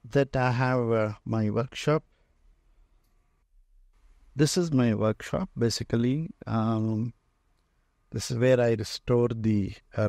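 A man with an Indian accent giving an informal guided tour as a museum owner, speaking haltingly with frequent pauses. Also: mid-range voice, darkish tone, no room sound, studio quality. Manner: halting, pausing often mid-phrase. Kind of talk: informal narrated walk-through tour. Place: indoor museum and workshop room full of shelves.

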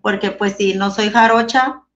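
A woman's voice: medium pitch, steady tone, normal speed, slight background noise. A woman in her thirties from Monterrey, Mexico, with a northern Mexican accent, a giggly and hesitant guest on a video call. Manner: giggly, hesitant, many fillers. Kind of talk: casual video-call interview. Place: small room indoors. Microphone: webcam on a video call. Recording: dull lo-fi webcam sound.

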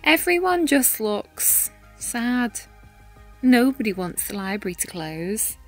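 A woman reading a children's picture book aloud in an expressive, animated manner with dramatic pauses and emphasis. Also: fairly high pitch, soft background music. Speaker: read-aloud storyteller, teacher-style reader.

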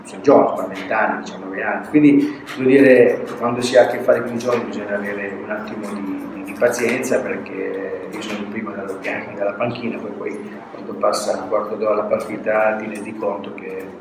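An older man, a football coach, answering questions at a post-match press conference in a halting way, with frequent restarts and words that trail off. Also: fairly low pitch, little pitch movement. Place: press room with a sponsor backdrop. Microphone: table mic on a stand. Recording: echoey room, mic at a distance, noisy.

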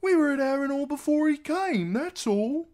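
An eager young chatterbox of a boy, acted by a man in a storybook reading. The voice jumps very high and is naive and blurting. He has no guile at all. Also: lively intonation, moderate pace, darkish tone.

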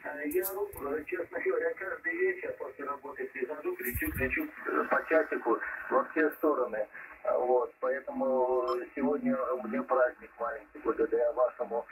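A man's voice: mid-range voice, normal speed. A man, an amateur radio operator, chatting casually over a radio transmission. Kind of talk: casual ham radio contact. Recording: noisy distant radio reception from speaker.

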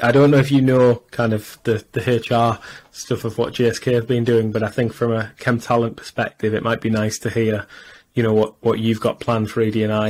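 A man's voice: lowish voice, a little mellow. A man moderating a casual panel discussion on a video call. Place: indoor room, video call.

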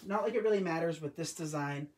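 Brief: young male craft host, early twenties, relaxed and chatty, recorded at home on a camera over the table. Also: on the high side, quick pace, a little hiss or hum. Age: 20s. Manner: chatty, loose and conversational with frequent restarts. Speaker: host of a live crafting stream. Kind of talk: casual live-stream craft demo. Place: home craft room, indoors. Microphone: camera or phone on a tripod over the table.

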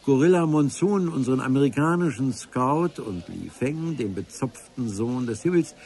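A man's voice: mid-range voice, lively intonation, moderate pace, a little mellow. A man narrating a radio adventure serial in the first person, with a dry, ironic storytelling manner. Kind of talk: scripted radio-play narration. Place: radio drama studio.

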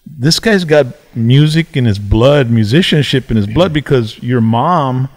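A man's voice: medium pitch, lively intonation, measured pace. A middle-aged man, interviewing in a casual, informal way and sometimes slipping into Spanish. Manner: informal, slips into Spanish, says "man" often. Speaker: podcast host. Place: large indoor room at a table. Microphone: close podcast microphone on boom arm.

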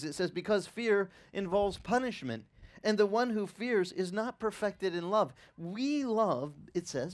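A man in his fifties preaching outdoors on a beach with a headset mic, talking in a loose, animated way with asides to the crowd. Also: sits high. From Italian-American upbringing. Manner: animated, conversational preaching with restarts and asides to the audience. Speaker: pastor. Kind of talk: outdoor sermon. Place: open-air beach tent service.

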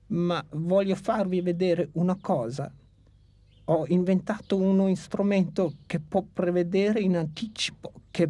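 Old dinosaur teacher, cartoon dub, breathy, patient lecturer. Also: female voice, lowish voice, mellow, no echo. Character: old brown male dinosaur teacher, fussy inventor. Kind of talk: dubbed cartoon acting.

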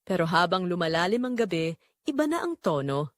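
Female storyteller for romantic audio drama: expressive, varied intonation, studio-dry sound. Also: on the low side, fairly quick, no echo. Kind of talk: scripted first-person dramatic narration.

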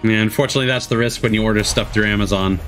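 A man's voice: on the low side, steady tone, rapid-fire, a bit bright, faint music behind. A man giving casual live commentary as a streamer reacting to a games showcase.

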